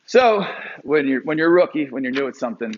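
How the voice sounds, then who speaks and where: A man in his forties from Pennsylvania, telling a personal story to a live audience with animated delivery and frequent fillers. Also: medium pitch, quick pace, lo-fi sound, a little hiss or hum. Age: 40s.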